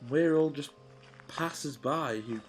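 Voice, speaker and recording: A man's voice: a little high, slow pace, faint music behind. A man playing a practical, blunt male companion in an audio drama who keeps asking questions and teasing his partner. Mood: puzzled. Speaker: sidekick. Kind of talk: fan audio drama acting.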